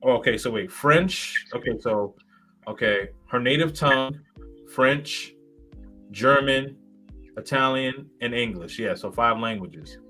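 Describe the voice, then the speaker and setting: A man's voice: mid-range voice, a little slow, pushed and bright, soft background music, low-quality recording. A man in his thirties, a guest in a casual interview, rambling with many fillers and restarts. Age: 30s.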